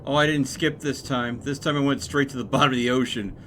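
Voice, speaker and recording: A man streaming a game, chatting casually in a rambling, halting way. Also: mid-range voice, fairly even intonation, a bit bright, slight background noise. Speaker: live streamer. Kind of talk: casual gaming livestream chat.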